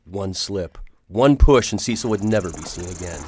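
Man as an earnest, dramatic gentleman adventurer. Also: deep voice, lively intonation, normal speed, slightly rough, dry recording, clean studio sound. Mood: grave, suspenseful. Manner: grave, dramatic, formal. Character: earnest, determined gentleman hero recounting his perilous adventure. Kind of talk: radio drama narration and acting.

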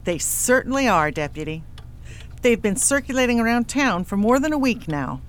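A woman acting Old West banker lady, slightly high voice, expressive, polite, earnest, western radio play. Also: normal speed, slight background noise. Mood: concerned.

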